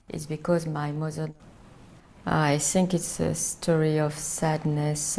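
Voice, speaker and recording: Gravelly low-voiced Frenchwoman, halting English. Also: darkish tone, slight background noise. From France. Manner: halting, searching for words in non-native English. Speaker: documentary interviewee. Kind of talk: reflective personal interview.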